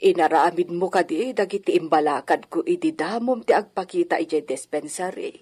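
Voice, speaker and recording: Motherly village midwife, low husky voice, bossy, admonishing, Filipino accent, radio drama. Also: normal speed, warm tone, faint music behind. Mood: scolding concern. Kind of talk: Ilocano radio drama acting.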